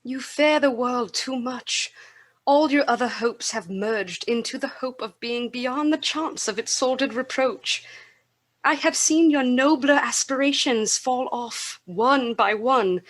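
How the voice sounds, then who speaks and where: Belle, high-voiced sorrowful young Victorian fiancée. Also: female voice, slow, fairly bright tone. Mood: sorrowful reproach. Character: Belle, sorrowful gentle young woman releasing her betrothed. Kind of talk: Victorian radio drama acting.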